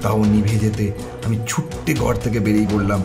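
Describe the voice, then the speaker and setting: A man's voice: lowish voice, little pitch movement, a little fast, vocal fry. A man playing a troubled doctor who narrates a horror cartoon in a suspenseful, theatrical way. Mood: haunted, tense. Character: troubled male doctor recounting a ghostly night. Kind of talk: dramatic scripted horror narration. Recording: music behind the voice.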